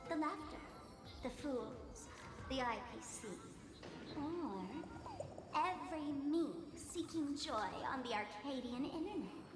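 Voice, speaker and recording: A woman's voice: sits high, animated, slow pace. A woman voicing a gleeful, theatrical female villain in a video game, with a playful, mocking, sing-song manner. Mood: taunting. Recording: roomy game audio with music.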